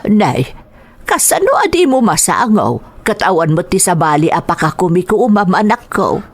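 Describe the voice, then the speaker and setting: A woman's voice: fairly low pitch, big pitch swings, normal speed, slightly rough, no room sound, exaggerated voice. Woman doing naggy older mom in a radio play, scolding her grown son, close dry sound. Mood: reproachful. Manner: reproachful, lecturing. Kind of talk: dramatised audio drama acting.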